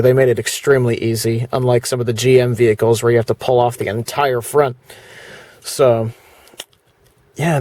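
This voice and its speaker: A man with a mid-pitched voice chats casually through a product review. His delivery is plainspoken and rambling, like everyday conversation. The sound is close and dry, with very little room on it. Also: darkish tone, dry recording. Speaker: video presenter.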